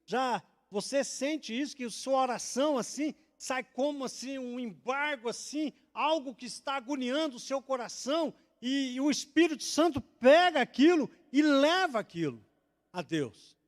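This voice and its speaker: A male pastor from Brazil with a notably high voice, preaching in a church. He swings from loud, stressed declarations to fast, hushed asides, and he answers his own questions to the congregation. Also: expressive. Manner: swings between loud emphatic declarations and quick, low confiding asides; asks the congregation questions and answers them himself. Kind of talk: sermon. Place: church sanctuary.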